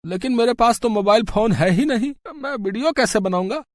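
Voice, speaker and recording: A man's voice: sits high, animated, fairly quick. A man playing an earnest, fretful school staff member in a cartoon story, speaking emphatically and simply. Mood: puzzled.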